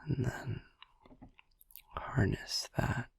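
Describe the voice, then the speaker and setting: A man playing a soothing vampire boyfriend in an ASMR sleep-aid roleplay, musing gently in a hushed, breathy whisper close to the microphone. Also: dry recording. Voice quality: breathy whisper with gravelly creak. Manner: slow, hushed, gently musing with long pauses. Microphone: close microphone, dry.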